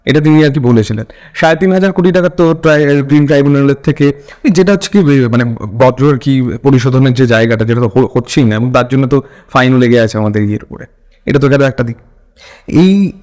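A man with a West Bengal Bengali accent interviewing conversationally, halting and rephrasing his points as he goes. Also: medium pitch, a little mellow.

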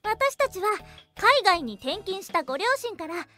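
A woman playing an assertive teenage schoolgirl in an anime audio drama, brisk and matter-of-fact. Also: extremely high pitch, sing-song, pushed and bright, faint music behind, full character voice. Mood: composed.

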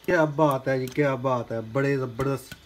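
A man's voice: mid-range voice, fairly even intonation, normal speed. A man in his thirties filming a casual home vlog on his phone, narrating cheerfully to viewers and exclaiming often. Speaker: vlogger. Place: home kitchen and rooftop, indoors and out. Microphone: phone camera held in hand. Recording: noisy phone recording.

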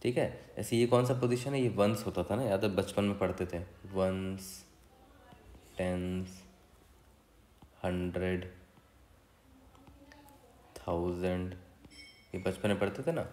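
A man's voice: low voice, fairly even intonation, takes it slowly, long pauses, darkish tone, slight background noise. A man with an Indian accent explaining as a coding tutor in a casual, conversational way, often adding little check-in tags. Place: indoor room, voice-over for screen recording. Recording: roomy, echoey voice recording.

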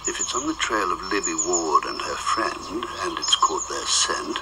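A dry, genteel secretive agent. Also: male voice, low voice, lively intonation, normal speed, heavy background noise. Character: urbane secretive older male agent.

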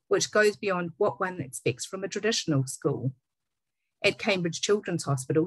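Brief: middle-aged woman, New Zealand accent, low, dry voice, formal measured webinar host on a video call. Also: no echo, low-quality recording. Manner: measured, formal, reading from a script. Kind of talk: scripted webinar introduction.

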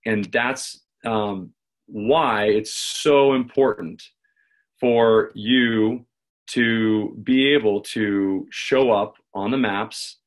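A man's voice: on the low side, steady tone, very slow. An American man in his forties presenting an informal tutorial, conversational, often restarting and repeating his phrases. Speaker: presenter, local marketing consultant. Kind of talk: informal screen-share tutorial, explaining. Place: small home office indoors.

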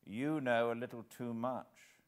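A man reading an audiobook, voicing a sly, assured older male villain who speaks softly with quiet menace. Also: medium pitch, steady tone, measured pace, clear, resonant tone, pitched above the performer's own voice, lightly acted. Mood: smooth menace. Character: sly old male villain, gently smiling. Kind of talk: audiobook character voice.

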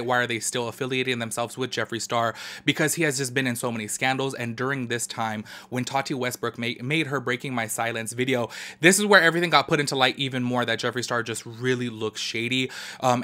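A young man talking to camera in his garage, his voice raspy and nasal from allergies, chatting casually and animatedly with his viewers. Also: medium pitch, sharp tone. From Southern California. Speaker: beauty commentary YouTuber. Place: home garage. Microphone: camera close up, studio framing.

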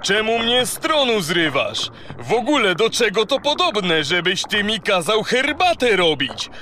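A man's voice: high-pitched, sing-song, measured pace, sharp tone, a little hiss or hum, full character voice. A man playing a pompous, blustering, grumpy sea king in a children's audio drama. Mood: indignant.

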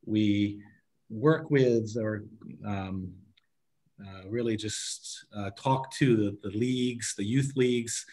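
A man's voice: fairly low pitch, a little mellow. A man in his sixties giving an informal committee report on a video call, often breaking off and rephrasing as he goes. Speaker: parks and recreation committee member reporting to a town council. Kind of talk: informal spoken report at an online meeting.

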